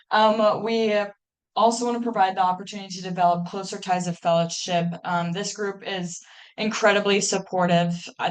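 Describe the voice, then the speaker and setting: A young woman in her twenties, from Ohio, speaks to a student club in a classroom. Her voice sits on the lower side, and she talks in a friendly, informal way with plenty of "um"s. She sounds like a club president running a meeting. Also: poor audio. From Ohio, USA. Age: 20s. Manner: friendly, informal, uses many "um"s. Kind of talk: welcome talk at a club meeting.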